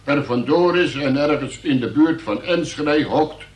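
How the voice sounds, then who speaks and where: An actor playing a dutiful police sergeant who formally and haltingly reads out a report in a radio play. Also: male voice, a little high, darkish tone, close to an everyday voice. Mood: reciting. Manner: formal, officious, halting delivery of official report. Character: dutiful police sergeant reading out a suspect's confession. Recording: roomy, echoing radio play recording.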